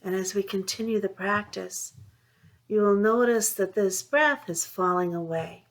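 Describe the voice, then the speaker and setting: A woman leading a guided mindfulness meditation, speaking in a soothing, unhurried way with long pauses between phrases. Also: medium pitch, poor audio. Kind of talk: guided meditation, gentle instruction.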